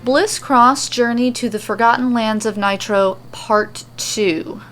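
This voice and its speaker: A woman's voice: a little high, steady tone, takes it slowly, a bit bright, soft background music. A woman hosting and reading aloud her own podcast-style fantasy fiction. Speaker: host and story reader. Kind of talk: narrating homemade fantasy fiction.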